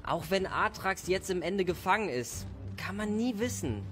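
A proud young village hero, acted by a man in an audio drama. He is boastful and naive, with a very animated pitch. Music plays clearly behind him. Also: normal speed. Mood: defensive, proud.

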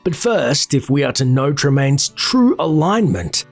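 A man's voice: on the high side, very animated, measured pace, soft background music. A man narrating a scripted lore video in a dramatic style with theatrical emphasis.

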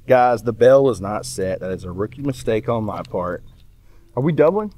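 Excitable man fishing outdoors. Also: lowish voice. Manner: excitable, exclaiming, quick laughs. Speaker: fishing channel host. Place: outdoors on a boat by a dock.

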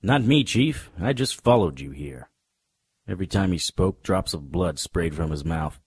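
Man playing a husky, low-voiced hardboiled detective. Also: measured pace, full character voice. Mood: grim, wry. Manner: wry, deadpan. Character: hardboiled, wisecracking male supernatural private investigator. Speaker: narrator and lead. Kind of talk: noir radio drama first-person narration.

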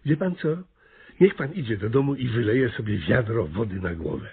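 A blustering small-town mayor in a radio comedy. He is none too bright, stammers and repeats himself. The voice is a little husky and the recording dull and lo-fi. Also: mid-range voice, sing-song, normal speed, exaggerated voice. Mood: exasperated, dismissive. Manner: hesitant, stammering, repeating words. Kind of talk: Polish radio play comedy acting. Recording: slightly muffled, lo-fi radio recording.

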